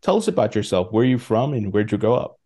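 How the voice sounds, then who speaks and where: A man's voice: fairly low pitch, sing-song, quick pace, dark tone. A man hosting a podcast interview, enthusiastic and friendly, speaking over a video call from a home studio. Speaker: podcast host.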